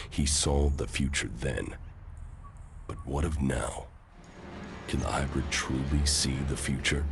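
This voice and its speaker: A man playing a grim, stoic military commander in a post-apocalyptic sci-fi game, speaking in a gravelly, brooding, measured and solemn way. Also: a bass voice, exaggerated voice. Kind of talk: dramatic video game acting and narration. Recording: music under the voice.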